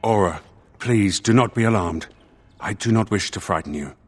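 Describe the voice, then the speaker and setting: An actor playing a solemn spirit of justice in a dead man's body for a video game, speaking formally and with measured thought. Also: on the low side, husky. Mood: gently solemn.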